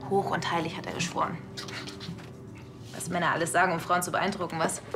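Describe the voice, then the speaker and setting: A woman's voice: fairly low pitch, flat delivery, a little fast, over music, lightly acted. An actress playing a lovelorn, indignant woman in a naturalistic TV crime drama. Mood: insistent, wistful. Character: indignant, lovelorn woman recalling an old flame.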